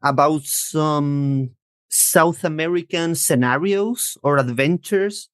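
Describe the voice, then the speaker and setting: Podcast guest: man, 30s, from Chile, Spanish native, fairly high pitch, dry recording, conversational and a little hesitant. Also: slow, a bit bright, clear, resonant tone, dry recording. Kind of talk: casual interview chat.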